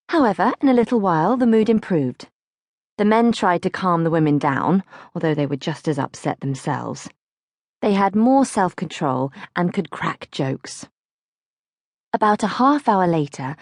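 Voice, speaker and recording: A woman's voice: fairly low pitch, moderate pace, dry recording, clean studio sound. A woman narrating a childhood diary as an audiobook, reading expressively from a script. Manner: expressive, acts out questions.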